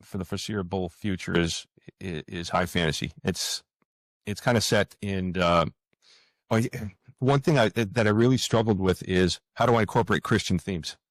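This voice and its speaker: Deep voice guy being interviewed, earnest, kinda halting, repeats himself, dry close sound. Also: dry recording. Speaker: podcast guest, fantasy writer. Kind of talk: interview conversation, reflective.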